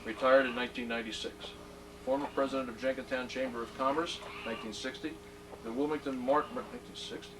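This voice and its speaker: A middle-aged man presents at an induction ceremony, genial and fond of joking asides as he reads out a plaque. His voice sits at an average pitch. He is heard from a distance, with clear background noise from the room. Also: expressive, fast talker. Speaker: presenter at a hall of fame induction. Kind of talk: ceremony speech. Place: ceremony hall. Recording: distant mic, noisy room.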